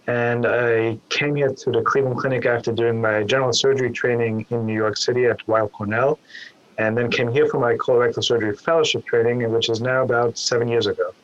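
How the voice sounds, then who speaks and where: A man's voice: medium pitch, fairly even intonation, a little fast, muffled recording. A man from Austria, a guest surgeon, who explains things carefully and often repeats a small word as he starts a point. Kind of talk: explanatory interview answers.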